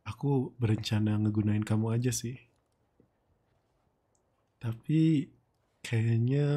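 Breathy, slow Indonesian boss boyfriend, acted by a man. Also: medium pitch, dark tone. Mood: tender, confiding. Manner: slow, teasing, murmured, lingering pauses. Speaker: ASMR boyfriend roleplay streamer. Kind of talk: intimate ASMR roleplay.

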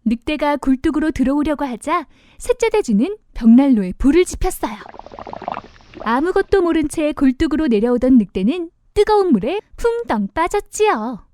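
A woman with a high-pitched voice tells a children's storybook. Her narration is expressive and animated, swinging widely in pitch, and pitched simply for young children. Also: moderate pace.

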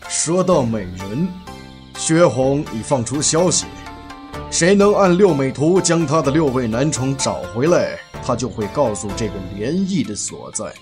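A man's voice: mid-range voice, expressive, a little slow, music in the background, lightly acted. A man playing a fond but scolding senior of a household in an audio drama. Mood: fond, informative.

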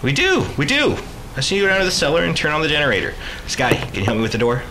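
Casting: male actor as middle-aged American family dad, radio drama. Lively intonation, coaxing, clear background noise. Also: on the high side, very fast. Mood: insistent, busy. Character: earnest, reassuring father of two on a family holiday.

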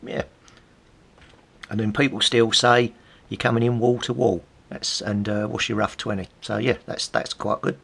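Gravelly, low-voiced middle-aged English man. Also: flat delivery, moderate pace, fairly bright tone. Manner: chatty, wryly amused asides. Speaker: vlogger, CB radio hobbyist. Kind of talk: casual commentary while leafing through old magazines, reading bits aloud.

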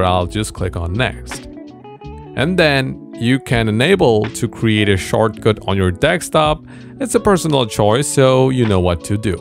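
A man narrating a scripted software tutorial as host, with an emphatic, sing-song instructional delivery. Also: medium pitch, vocal fry. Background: background music.

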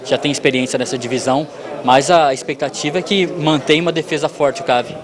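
A Brazilian sports reporter, a man, asking questions quickly with a fairly high voice. He speaks into a handheld mic in an indoor hall, and the chatter of a busy crowd carries behind him. His tone stays conversational. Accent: Brazilian Portuguese. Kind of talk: post-event player interviews. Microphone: handheld microphone. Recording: lively crowd noise behind.

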